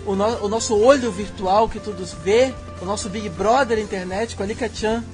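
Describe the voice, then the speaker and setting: This is a man with a very high voice who drops wry, teasing remarks into relaxed podcast banter. Clear music plays behind him throughout. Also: normal speed. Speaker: podcast co-host.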